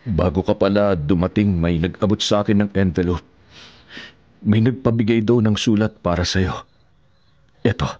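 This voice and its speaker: An actor playing a gentle, devoted older widower husband in a Filipino radio drama, speaking in a tender, measured way. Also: male voice, on the low side, no echo, lo-fi sound, lightly acted. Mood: tender, forgiving.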